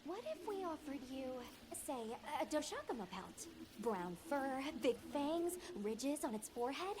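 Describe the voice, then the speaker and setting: A woman's voice: sits high, sing-song, a little slow, noisy background. A woman playing a composed, inquisitive young hunter's companion in a video game, speaking politely and articulately. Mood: curious. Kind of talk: video game character dialogue.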